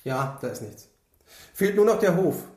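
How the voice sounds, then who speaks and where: An actor playing a matter-of-fact crime scene investigator, reporting plainly in a radio drama. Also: male voice, high-pitched, lively intonation, normal speed, a little mellow. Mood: factual. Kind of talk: naturalistic radio drama dialogue. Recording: echoey room.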